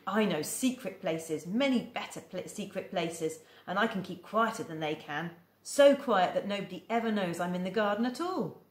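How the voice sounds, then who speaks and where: A woman voicing a lively, boastful little girl in a storybook reading. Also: moderate pace, hardly any pauses, roomy sound, natural voice. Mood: eager. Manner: high, bright, excited girlish voice.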